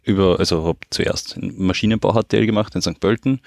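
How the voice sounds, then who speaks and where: A young man from Austria, a company co-founder talking about his background in a relaxed, matter-of-fact way. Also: deep voice, fairly quick, vocal fry, no echo, studio quality. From Lower Austria. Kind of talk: casual interview talk.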